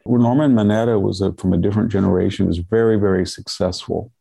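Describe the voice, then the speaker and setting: An older man from California, stuffed up with a cold, giving measured, lecturing political commentary in a podcast conversation. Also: sits low, steady tone, creaky, mellow, slightly rough.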